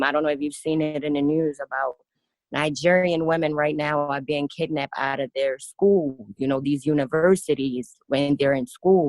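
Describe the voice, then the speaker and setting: Young kenyan-born woman guest, low voice, halting and earnest, lots of um and you know, dry sound. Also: no echo, poor audio. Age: 20s. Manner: earnest, halting with many fillers. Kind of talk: radio interview, personal testimony.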